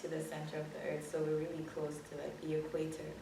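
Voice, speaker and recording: A young woman from Ghana, raised in Zimbabwe, answering interview questions in a relaxed way and laughing easily. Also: sits low, quick pace, background noise. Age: young adult. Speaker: student guest. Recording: echoey room, mic at a distance.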